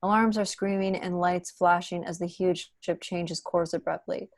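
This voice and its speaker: A woman's voice: lowish voice, fairly even intonation, normal speed, no echo, clean studio sound. A young woman reading screenplay stage directions as scripted narration on a video call from home. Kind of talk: scripted narration at a table read.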